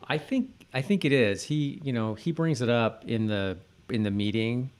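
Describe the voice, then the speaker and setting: A man's voice: medium pitch, normal speed, clean tone. A man co-hosting a podcast, chatting casually in a loose, somewhat hesitant conversational way. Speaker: podcast co-host. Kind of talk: casual chat about a TV episode.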